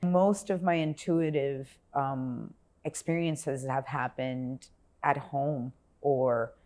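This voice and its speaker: Forties woman from miami, low voice, thinks out loud, um-ing and restarting. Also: steady tone, slow. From Miami, Florida. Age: 40s. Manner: reflective, searching for words with fillers and restarts. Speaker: guest, healer and yoga instructor. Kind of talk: casual interview conversation.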